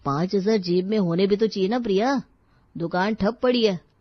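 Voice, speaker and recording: A woman's voice: medium pitch, moderate pace, no room sound, highs cut off, close to an everyday voice. A woman voicing a resigned, earnest young husband in a dramatised story, speaking plainly and explaining.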